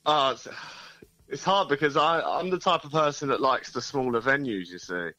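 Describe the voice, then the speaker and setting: A man, a band frontman, talking in a relaxed, laid-back way as an interview guest. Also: medium pitch, moderate pace, dry recording, dull audio. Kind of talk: casual interview chat. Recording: phone interview.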